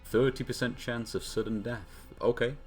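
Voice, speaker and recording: A man's voice: mid-range voice, steady tone, moderate pace, darkish tone. A man commentating on a video game live, talking casually with wry asides. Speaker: game streamer. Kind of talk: live game stream commentary, reading dialogue aloud. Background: video game music.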